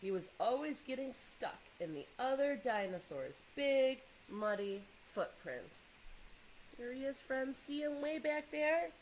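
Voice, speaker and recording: A woman reading a children's picture book aloud in an expressive way, pausing to engage young listeners with questions and asides. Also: sits high, a little hiss or hum, rough recording.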